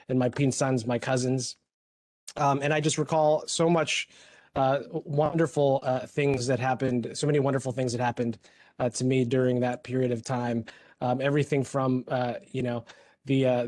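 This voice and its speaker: A man's voice: medium pitch, a little mellow, no echo. A man from the Philippines telling colleagues his personal story, hesitant, with many fillers and restarts. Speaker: hospital executive.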